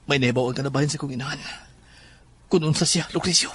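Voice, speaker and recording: A man's voice: mid-range voice, fast, fairly bright tone, breathy edge. A man acting as an anxious, secretive young son in a horror audio drama, with a Filipino accent and a grave delivery.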